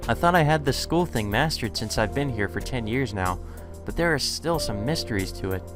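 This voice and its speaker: A man narrates a visual novel as a let's play host. His voice sits at a middle pitch, switching between dramatized dialogue and casual asides, with game music underneath. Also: lively intonation, fairly quick. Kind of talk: reading visual novel text aloud in translation.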